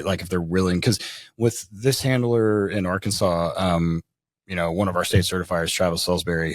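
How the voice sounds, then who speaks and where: A man's voice: low voice, no echo, clean studio sound. A man co-hosting a podcast, talking casually and winding through long questions. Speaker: podcast co-host.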